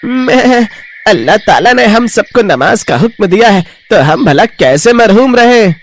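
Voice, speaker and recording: A man voicing a gentle, devout talking goat in a children's cartoon story, speaking in an earnest, preaching manner. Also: high voice, very animated, a little slow, full character voice. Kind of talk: children's animated moral story acting.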